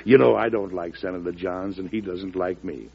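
An American man acting a genial, shrewd older president in a 1940s radio drama, gravelly and husky. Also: sits low, fairly even intonation, moderate pace, heavily acted. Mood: genial, teasing. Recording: muffled old radio recording.

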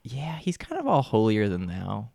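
A young man voicing his character in a tabletop game, chatty and loose with his phrasing. His low voice has a gravelly edge and swings around with lively pitch. It is recorded close and dry. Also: normal speed, no echo. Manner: casual, chatty, with frequent restarts and laughs. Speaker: player.

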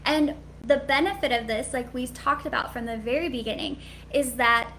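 Sweet high-voiced woman coaching frankly. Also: a bit bright, a little hiss or hum, rough recording. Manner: candid, chatty, frequent "like" fillers. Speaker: business coach for hairstylists. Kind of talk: live-streamed coaching talk.

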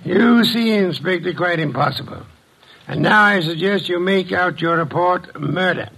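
An actor playing a fussy, imperious genius detective with precise, formal diction in an old-time radio drama. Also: male voice, high-pitched, moderate pace, gravelly, pushed and bright, slightly rough, heavily acted, poor audio. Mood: smugly insistent. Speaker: private detective.

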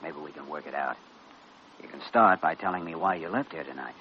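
A man acting an earnest, principled young doctor in an old-time radio drama, measured, firm and sincere. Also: a bass voice. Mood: stern, concerned. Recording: muffled, noisy vintage radio recording.

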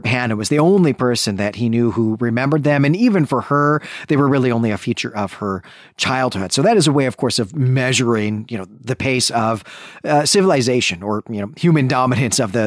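A man's voice: medium pitch, expressive, a little fast, dry recording. A man with a mid-range voice hosts a book discussion podcast. He talks in a discursive, enthusiastic way, working through details as he goes. The sound is close and dry. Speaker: podcast host.

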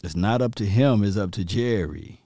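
A man from Mississippi with a Southern accent, hosting a casual sports talk show and reacting with lively, laughing asides to his listeners. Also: fairly low pitch, normal speed, dry recording. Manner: animated, laughing, talks straight to listeners. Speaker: podcast host.

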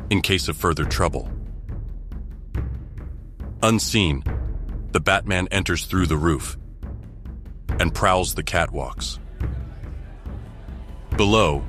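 Low-voiced man narrating audio drama. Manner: measured, dramatic storytelling. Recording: loud music behind.

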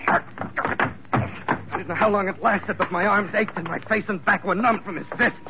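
A man's voice: high-pitched, moderate pace, gravelly, heavy background noise. An actor playing a tough, hot-tempered sea captain who narrates his own adventure in a terse, dramatic old-radio style. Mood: urgent, fierce. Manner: hard-boiled, terse, dramatic delivery. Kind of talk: 1940s-50s radio adventure drama acting with first-person narration. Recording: dull vintage radio recording, loud music behind.

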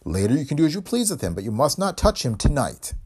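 A man voicing a courtly, sinister bearded man who gives formal, clipped orders, in a husky voice. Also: medium pitch, sing-song, fast talker, a little mellow, pitched above the performer's own voice. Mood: menacing.

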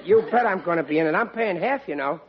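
Blustering Brooklyn husband, noisy old recording. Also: male voice, high voice, fast, a crowd in the background. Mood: flustered, insistent. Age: middle-aged. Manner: blustering, slangy, excitable. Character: loud, goofy, forgetful middle-aged husband and father. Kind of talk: 1940s radio sitcom acting. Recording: muffled, very noisy vintage radio recording.

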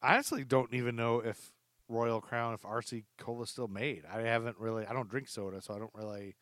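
A man's voice: mid-range voice, fairly even intonation, fairly quick, a bit bright, no room sound. Chatty guy hosting a podcast, loose and casual, really dry close sound. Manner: chatty, laughs readily, loosely phrased. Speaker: podcast host.

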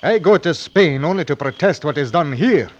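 A fiery young scottish lad, highish voice, old radio play, muffled vintage sound. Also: male voice, expressive, normal speed. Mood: defiant. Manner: vehement, old-fashioned dialect speech. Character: hot-tempered young Scottish man, a brooding sweetheart. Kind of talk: old-time radio drama acting. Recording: dull vintage radio recording.

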